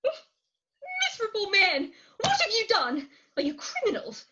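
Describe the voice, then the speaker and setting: A woman's voice: very high voice, huge pitch swings, a little slow, bright, forceful tone, exaggerated voice. A woman playing a melodramatic, indignant mother and deceived wife, declaiming in a staged reading of a Victorian farce. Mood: alarmed. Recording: muffled remote call audio.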